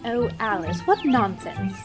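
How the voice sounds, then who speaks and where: A woman playing a sensible, mildly dismissive older sister in an old-time radio play, gently scolding. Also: mid-range voice, unhurried, over loud music. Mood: calm, dismissive. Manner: calm, patient, gently scolding.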